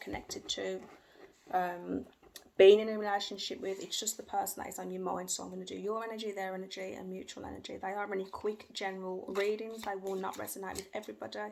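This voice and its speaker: A woman's voice: mid-range voice, level tone, fast, vocal fry, darkish tone. A woman giving a tarot reading at home, who hedges, repeats herself and goes by what she feels, with her children faintly heard in the background. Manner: hedging, repeats phrases, speaks by feeling. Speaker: tarot reader. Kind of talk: tarot card reading for viewers. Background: faint noise of her young children.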